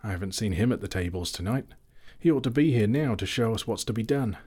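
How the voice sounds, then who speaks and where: A man's voice: on the low side, lively intonation, quick pace, a little mellow, husky. A man voicing a group of merry male elves, calling out with mockery and impatience in a storybook reading. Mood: questioning. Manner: jeering, impatient calls.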